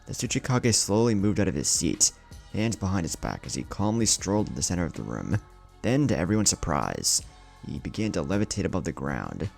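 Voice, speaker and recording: A man's voice: low voice, creaky, darkish tone. A man narrating an anime fan story in a dramatic scripted style.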